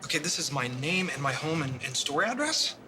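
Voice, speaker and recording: A man's voice: on the high side, big pitch swings, brisk, noisy background. An American man acting as a stubborn, defensive conservative father and shopkeeper in a naturalistic film. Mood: casual, uneasy.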